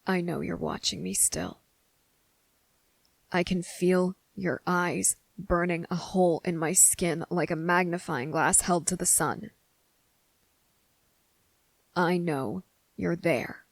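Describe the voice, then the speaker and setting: A woman voicing a guarded, defiant young boy with deliberate, halting, serious delivery in an ASMR roleplay audio drama. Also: on the low side, fairly even intonation, vocal fry, dry recording, full character voice. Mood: firm, reproachful.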